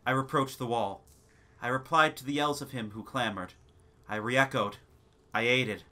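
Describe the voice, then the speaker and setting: A man reading a gothic tale as a theatrical, deliberate dramatic narration. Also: medium pitch, pushed and bright. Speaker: narrator of a gothic short story. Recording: noisy ambience sound bed. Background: background ambience and sound effects.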